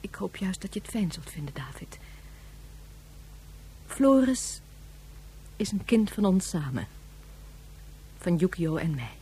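Passionate, distressed mother, very animated. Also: fairly low pitch, a little slow, pauses often, husky, heavily acted. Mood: gentle, careful. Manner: emphatic, confrontational, earnest. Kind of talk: Dutch science-fiction radio drama acting. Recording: radio play with faint background hum.

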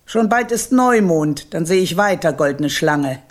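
A woman's voice: lowish voice, animated, a little slow. An actress playing an imperious old witch grandmother in a radio play, haughty, biting and sardonic. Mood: haughty menace. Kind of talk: German radio play acting.

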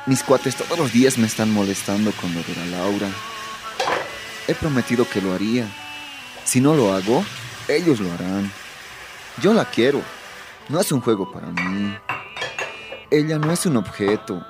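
A man's voice: lowish voice, animated, normal speed, long pauses, over music. A man with a Bolivian accent acting an insecure, hesitant teenage boy torn between love and bravado in a radio drama. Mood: troubled. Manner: hesitant, slangy.

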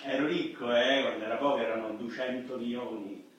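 A man's voice: mid-range voice, fairly quick, dull audio, natural voice. An actor playing a middle-aged Roman ex-robber, a boastful and lonely braggart telling his story in theatrical, streetwise radio-drama monologue. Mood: excited bragging. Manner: animated streetwise storytelling, swinging from bragging to self-pity. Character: boastful, lonely ex-robber nearing fifty, coke-loving braggart. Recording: echoey stage hall, distant mic, faint noise.

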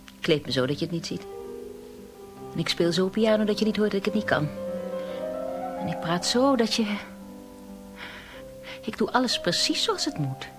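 A woman's voice: mid-range voice, lively intonation, moderate pace, pauses often, over music, close to an everyday voice. An actress in a radio play, playing a bitter, lonely woman who resents caring for her brother, with a brittle, intense manner. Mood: uneasy, confiding. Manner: brittle and intense, mimics others mockingly.

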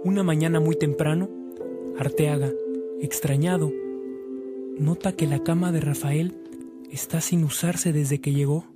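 A man's voice: a little high, fairly even intonation, a little slow, long pauses, close to an everyday voice. A man playing the narrator of a saint's life in a radio drama, reading scripted storytelling. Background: loud background music.

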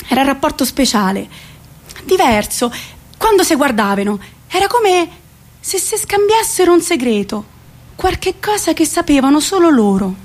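A woman with a central Italian, Roman-area accent narrating a theatrical monologue in an expressive, colloquial way. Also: on the high side. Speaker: stage actress.

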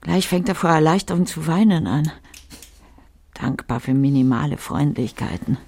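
Older wife brooding in a radio play, gravelly and husky, sardonic, bitter. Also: female voice, low-pitched, expressive, normal speed, warm tone, full character voice. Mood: resigned. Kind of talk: literary radio drama monologue.

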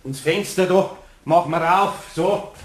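A man's voice: fairly high pitch, normal speed, a little hiss or hum, natural voice. A man playing a kindly, flustered older master craftsman in a German radio play, with a Bavarian accent and a folksy, fussing delivery. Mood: soothing. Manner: folksy, fussing, repeating words.

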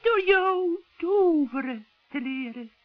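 A man voicing a scheming old witch in a children's radio play, shrill and cackling, with sugary, wheedling friendliness. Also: lively intonation, no echo, exaggerated voice. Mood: sly, coaxing. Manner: wheedling, drawn-out coaxing. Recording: dull, dry vintage radio recording.

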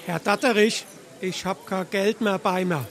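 An actor playing a stubborn small-time creditor in a stage comedy, insisting in Darmstadt Hessian dialect. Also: male voice, high voice, steady tone, measured pace, fairly bright tone. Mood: insistent. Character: stubborn creditor pestering a debtor for small change.

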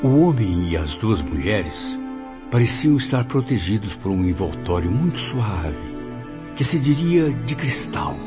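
A man's voice: on the low side, sing-song, measured pace. A Brazilian man acting a grave, reflective spirit telling his memoirs in formal, literary radio drama narration. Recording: dull lo-fi radio drama, music behind.

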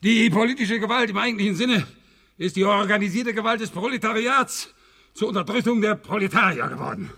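An actor playing a pedantic old communist revolutionary in a radio play, declaiming in pompous, lecturing slogans. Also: male voice, high-pitched, expressive, a little fast, creaky, bright, forceful tone, heavily acted. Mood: anguished. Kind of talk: theatrical German radio play acting.

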